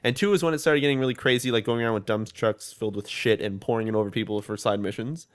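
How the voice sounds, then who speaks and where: Young podcast co-host, mid-pitched, rambling and profane, restarts a lot. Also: male voice, fairly even intonation, fast. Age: 20s. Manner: rambling, swears, frequent restarts. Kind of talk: casual gaming chat.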